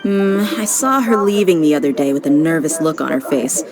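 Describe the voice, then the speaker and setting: A woman's voice: low-pitched, animated, a little fast, poor audio. A woman voicing a practical, protective female guard in a video game, speaking firmly. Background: game music.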